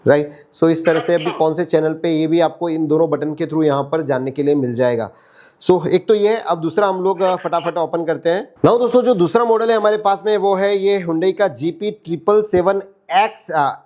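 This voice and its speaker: Indian male tech reviewer, fairly high voice, conversational and explanatory delivery for a casual product walkthrough. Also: a little mellow. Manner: conversational, explanatory, Hindi mixed with English terms.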